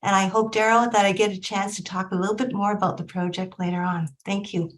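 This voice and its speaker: Middle-aged woman from Hong Kong, measured researcher on a video call panel. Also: medium pitch, flat delivery. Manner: measured, with frequent ums. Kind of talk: panel discussion. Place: home or office in Vancouver.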